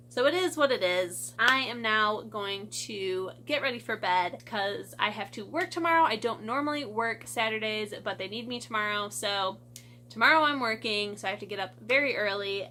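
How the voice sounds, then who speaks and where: A woman's voice: fairly high pitch, pushed and bright, a little hiss or hum. A young woman from Michigan chatting casually in a book vlog, a little stuffy from her sinuses, with lots of "like" and "I feel like". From Michigan, USA. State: stuffy, congested. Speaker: book vlogger.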